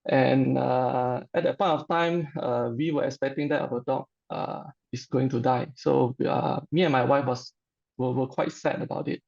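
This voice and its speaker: A middle-aged man with a Malaysian accent tells a personal story informally. His voice sits at a medium pitch with a creaky, gravelly edge. He speaks haltingly, with frequent hesitations, and the audio is dull and lo-fi. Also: steady tone, a little mellow. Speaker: customer giving a testimonial.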